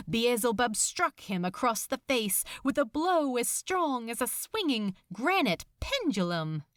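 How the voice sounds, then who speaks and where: Slow, expressive woman narrator, very dry sound. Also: a little high, no room sound. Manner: expressive, suspenseful, deliberate. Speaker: fan fiction narrator. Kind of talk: dramatic scripted narration.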